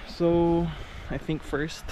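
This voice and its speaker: A man vlogging alone at a beach campsite, relaxed and thinking aloud, switching between English and Filipino, recorded outdoors on a body-worn action camera. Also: a little high, slow pace, noisy background. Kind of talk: casual solo camping vlog.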